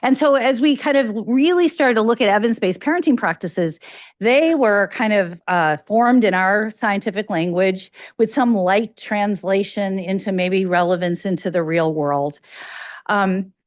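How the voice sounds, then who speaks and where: A woman's voice: medium pitch, moderate pace, dry recording, studio quality. A woman with a slight Chicago accent giving an academic grand rounds lecture, talking conversationally with fillers and restarts. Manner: conversational, self-correcting, with frequent fillers.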